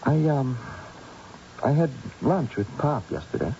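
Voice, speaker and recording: An actor playing a concerned, tactful adult son in an old-time radio drama, hesitant and gently reassuring. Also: male voice, mid-range voice, very animated, a little slow. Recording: dull, noisy old radio recording.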